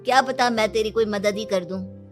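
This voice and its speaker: A woman voicing a calm, reassuring male office friend in a cartoon. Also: medium pitch, moderate pace, over music, natural voice. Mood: concerned. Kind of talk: cartoon dialogue.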